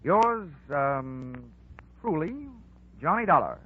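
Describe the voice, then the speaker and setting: An actor playing a tough, wisecracking insurance investigator in 1940s radio detective style. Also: male voice, a little high, big pitch swings, slow pace. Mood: dry, wry. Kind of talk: 1940s radio acting, first-person detective narration. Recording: tinny old radio recording, music behind.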